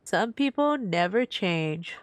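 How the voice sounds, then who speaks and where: A woman's voice: medium pitch, lively intonation, takes it slowly, dry recording. A woman livestreaming a game with casual, gushing, enthusiastic reaction commentary. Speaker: game streamer.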